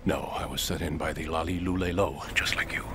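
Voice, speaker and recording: An actor playing a male covert agent in a video game, explaining secrets in an assured, measured manner. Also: rumbling low, fairly even intonation, heavy background noise. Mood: hushed, wary. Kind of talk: video game cutscene acting, expository.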